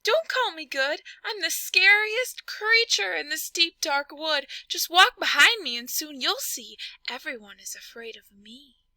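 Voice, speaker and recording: Casting: small storybook mouse, cocky trickster, high and animated, acted by a woman. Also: measured pace, no room sound, exaggerated voice. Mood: boastful. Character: bold, cheeky little mouse, boastful trickster.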